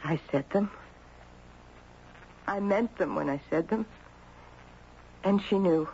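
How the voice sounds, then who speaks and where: An actress playing a guilt-ridden, grieving middle-aged mother in halting, confessional 1970s radio drama style. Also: lowish voice, vocal fry, a little mellow. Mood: anguished, remorseful. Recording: muffled, noisy 1970s radio recording.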